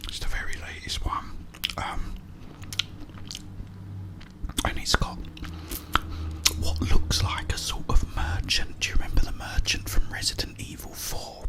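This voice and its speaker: A man whispering breathily in a hushed, unhurried ASMR ramble while eating. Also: poor audio. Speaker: ASMR video creator.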